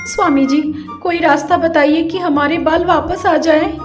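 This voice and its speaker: A woman voicing an anxious, whiny young daughter-in-law in an animated fairy tale, plaintive and dramatic. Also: extremely high pitch, normal speed, music in the background. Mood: eager, emotional. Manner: plaintive, dramatic, childlike pleading. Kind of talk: animated Hindi story voice acting.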